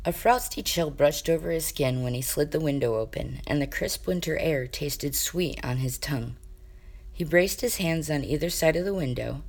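A woman reading a comic story aloud as an expressive amateur narrator, with wry, dramatic emphasis. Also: sits low, normal speed. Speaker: amateur fiction reader.

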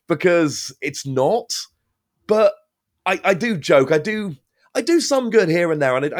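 A man in his thirties, a podcast host, chatting casually and telling stories with animation. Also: on the high side. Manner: animated, lively storytelling. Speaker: podcast host and card game caster. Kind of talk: casual podcast interview chat.

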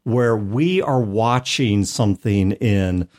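A man with a fairly low voice talks as a podcast host. He explains things at length in a casual, reflective manner, often adding small qualifying asides as he goes. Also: takes it slowly, darkish tone. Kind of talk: casual discussion of tabletop role-playing games.

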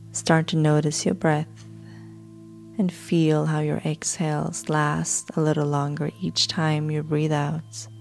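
A woman podcast host narrating a guided sleep hypnosis in a soft, soothing way, with breathy phrase endings. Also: low voice. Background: background music.

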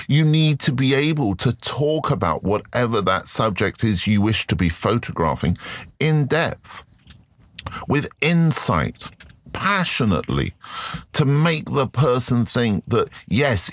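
A man hosting a solo podcast, his voice deepened by illness, talking in a reflective, measured way and giving advice. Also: no room sound, clean studio sound. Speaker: podcast host.

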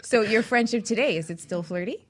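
A woman's voice: medium pitch, a little fast. A middle-aged woman hosting a talk show, chatting and telling stories casually and affectionately.

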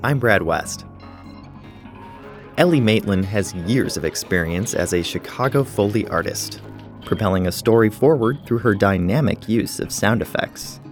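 A man's voice: fairly low pitch, lively intonation, measured pace. A man hosting an audio newsletter, reading a scripted introduction. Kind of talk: scripted announcement. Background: music.

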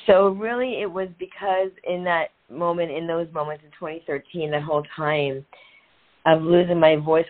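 A middle-aged woman guest telling her own story, earnest and reflective, speaking in short phrases with pauses. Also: low-pitched. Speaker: guest, author and transformational coach. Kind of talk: candid personal story in a podcast interview. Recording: tinny phone-like line.

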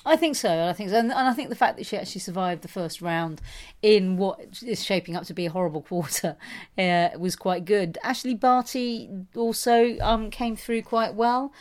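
A woman's voice: mid-range voice, normal speed, darkish tone. A woman co-hosting a casual tennis podcast, chatty and self-mocking, with frequent fillers and restarts. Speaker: podcast co-host.

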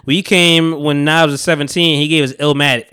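Man, thirties, podcast host, playful, curious, smooth voice. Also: a little high, steady tone, sharp tone, dry recording, clean studio sound. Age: 30s. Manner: playful, curious, quick questions, swears freely. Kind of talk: casual chat.

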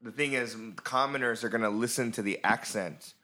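Male podcast co-host, animated casual talker. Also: mid-range voice. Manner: animated, quick bursts, many fillers. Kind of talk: casual chat.

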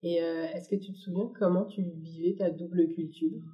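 A woman's voice: on the low side, steady tone, moderate pace, highs cut off. A woman hosting a casual interview, asking questions and giving short acknowledgements. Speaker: interviewer, podcast host.

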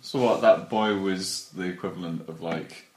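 A man's voice: deep voice, normal speed. A man in casual comic banter, asking teasing, mock-serious questions as a co-host. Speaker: podcast co-host critiquing a story. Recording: echoey room, clear background noise.

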